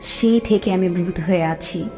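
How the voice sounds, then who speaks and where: Horror cartoon ghost: actress as murdered young widow, sorrowful, pleading yet commanding, long reverb over music. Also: female voice, fairly low pitch, measured pace, darkish tone.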